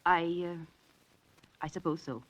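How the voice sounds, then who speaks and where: An actress playing a prim, proper, middle-aged school headmistress in a 1940s radio drama, formal and hesitant. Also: female voice, lowish voice, unhurried, a little hiss or hum, lightly acted. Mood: flustered. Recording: dull vintage radio recording.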